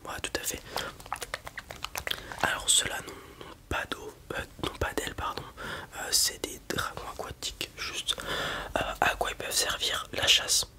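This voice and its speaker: A man's voice: unhurried, pauses often, bright, forceful tone. A man in an ASMR roleplay, whispering breathily as he casually and hesitantly explains things in the role of a breeder.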